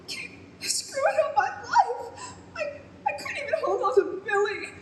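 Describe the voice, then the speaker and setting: A woman's voice: very high voice, wildly swooping pitch, slow, full character voice. A woman acting a troubled young single mother in a live old-time radio play, with dramatic, emotional delivery. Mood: grief-stricken, drunk. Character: distraught young single mother, grieving, drinking, later doting on baby. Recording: live stage recording, echoey room, faint noise.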